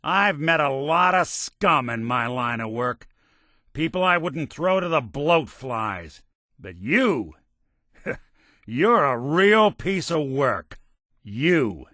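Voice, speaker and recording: An actor playing a world-weary, principled robot detective in a video game, with an American accent and wry, plainspoken, hard-boiled old-time talk. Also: male voice, fairly low pitch, animated, normal speed, a bit bright, no room sound, clean studio sound. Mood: bitter, angry. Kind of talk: video game character dialogue.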